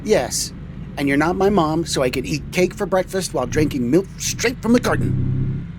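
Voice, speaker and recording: Man as a higher-pitched, easygoing trucker captain. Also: expressive, moderate pace, lightly acted. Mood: playful, easygoing. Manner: casual, playful. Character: boyish, easygoing trucker captain, playfully stubborn. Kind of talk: scripted comedy audio drama. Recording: faint vehicle noise behind.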